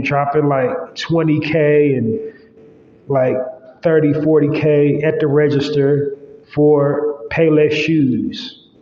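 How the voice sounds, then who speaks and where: A man hosting his own podcast, talking casually and loosely with lots of fillers, his nose stuffy and congested. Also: on the high side. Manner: loose, rambling, with many fillers. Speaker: podcast host. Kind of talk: casual solo podcast monologue. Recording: roomy, long-echo, slightly dull sound.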